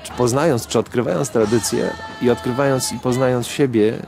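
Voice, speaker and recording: A man's voice: medium pitch, fairly even intonation, fast talker, creaky. Thoughtful man over folk music. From Poland. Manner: thoughtful, listing ideas in long flowing clauses. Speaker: percussionist, interviewee. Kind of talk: interview.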